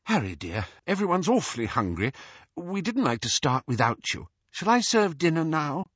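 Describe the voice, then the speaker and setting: A man voicing a gentle, motherly middle-aged woman in an audiobook, with a tentative, fussing manner. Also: fairly high pitch, very animated, normal speed, slightly rough, dry recording, highs cut off, pitched above the performer's own voice, full character voice. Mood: tentative, kindly.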